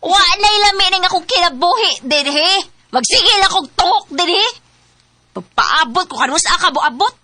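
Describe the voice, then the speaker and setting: An actress as a fed-up young girlfriend in a radio drama. Her voice is extremely high and sharp, and she speaks in a whiny, dramatic, emotional way. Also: lively intonation, normal speed, a little hiss or hum, exaggerated voice. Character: discontented, restless young live-in girlfriend who drinks. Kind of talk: radio drama acting in Cebuano.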